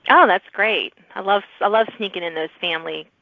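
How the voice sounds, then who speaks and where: A woman's voice: mid-range voice, brisk, creaky, dry recording. Woman podcast host, dull lo-fi sound. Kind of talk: casual author interview. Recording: muffled, lo-fi audio.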